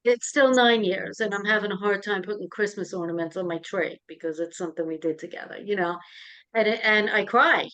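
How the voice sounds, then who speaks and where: A woman's voice: medium pitch, brisk, a bit bright, low-quality recording. A middle-aged woman co-hosting a conversational interview, asking questions and sharing her own experience. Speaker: podcast co-host, interviewer.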